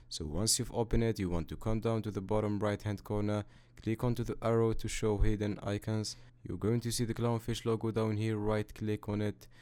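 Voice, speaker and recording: A man with a fairly low voice narrates a step-by-step computer tutorial. He speaks as the host, giving instructions in a matter-of-fact manner. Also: a little mellow.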